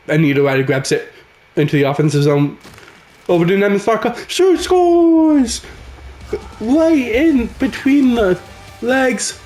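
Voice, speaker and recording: A man, raspy from a cold, giving casual play-by-play commentary over a hockey video game. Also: high voice, roomy sound. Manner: excitable, calls the action as it happens. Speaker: gaming channel host.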